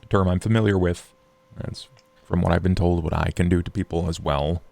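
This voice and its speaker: Man playing a creaky, deep-voiced scholarly vampire. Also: steady tone, a little mellow, no room sound. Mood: grave. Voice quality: low, gravelly fry. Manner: measured, formal, wordy. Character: scholarly, formal, morose male vampire occult theorist. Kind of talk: in-character tabletop roleplay.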